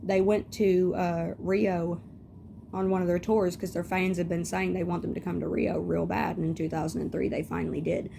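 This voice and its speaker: A woman's voice: on the low side, little pitch movement, moderate pace, creaky. A young woman with a scratchy voice, chatting casually and rambling through tangents as she shows off makeup at home. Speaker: beauty vlogger. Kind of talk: casual chat.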